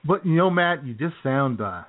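A man's voice: a little high, very animated, normal speed. American man co-hosting, thin tinny audio. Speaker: radio show co-host. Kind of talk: casual comedic banter. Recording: tinny band-limited remote audio.

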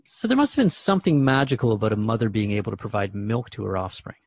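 A man's voice: on the low side, very animated, quick pace, no echo. A man hosting a radio drama dialogue, asking questions and musing aloud in a hesitant, conversational way. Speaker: radio host in a scripted dialogue.

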